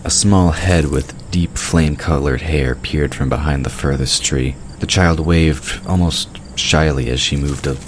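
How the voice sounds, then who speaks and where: Very deep-voiced male narrator. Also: unhurried, few pauses. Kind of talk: scripted fantasy audio drama narration. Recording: clear background noise of night crickets.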